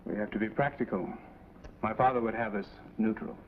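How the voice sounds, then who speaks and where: An actor playing a guarded, proud young son in a vintage television drama, formal and measured. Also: male voice, lowish voice, close to an everyday voice. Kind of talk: 1950s television drama acting. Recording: tinny, hissy vintage TV soundtrack.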